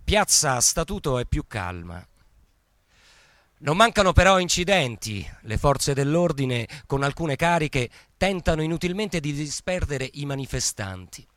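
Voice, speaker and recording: A man's voice: fairly high pitch, big pitch swings, measured pace, fairly bright tone, dry recording. A man giving a scripted, dramatic, newsreader-like narration as an actor in radio theatre.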